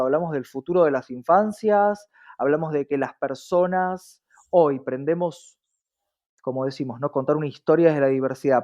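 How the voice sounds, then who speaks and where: A man with a Rioplatense Argentine accent giving reflective, explanatory interview answers with earnest repetition and rhetorical questions. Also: a little high. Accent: Rioplatense Argentine Spanish. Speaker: interview guest, diversity specialist. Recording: slightly dull, lo-fi sound.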